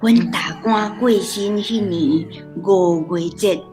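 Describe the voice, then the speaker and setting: Slow-talking Taiwanese village grandmother, played by a woman. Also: medium pitch, over music, close to an everyday voice. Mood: gossipy. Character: chatty, traditional old village grandmother. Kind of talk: radio drama dialogue.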